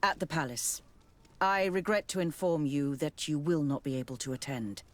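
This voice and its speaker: A woman's voice: deep voice, moderate pace, lightly acted. A woman voicing a poised, courteous official in a video game, speaking in a formal, polished and authoritative way. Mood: businesslike. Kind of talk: dramatic video game acting.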